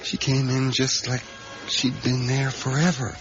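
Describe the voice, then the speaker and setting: An actor as a slow, haunted rural storyteller. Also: male voice, mid-range voice, monotone, over music, close to an everyday voice. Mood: hushed, entranced. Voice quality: folksy, plain-spoken rural speech. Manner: hesitant, repetitive, intense storytelling. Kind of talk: radio drama acting. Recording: dull, noisy 1980s radio recording.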